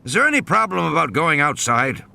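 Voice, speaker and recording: Guy doing an antsy old park boss in an audio play, pitched up, bright, clipped questions. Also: very animated, brisk, gravelly, heavily acted. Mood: impatient, uneasy. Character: impatient, uneasy elderly park owner.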